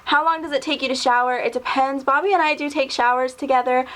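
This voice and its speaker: A woman in her mid-twenties talks casually to her camera. Her voice sits a little high, and she rambles easily with plenty of fillers. It sounds like a relaxed home video. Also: fast, low-quality recording. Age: 20s. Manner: rambling, chatty, lots of ums and little laughs. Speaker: vlogger. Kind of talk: casual tag video answering questions.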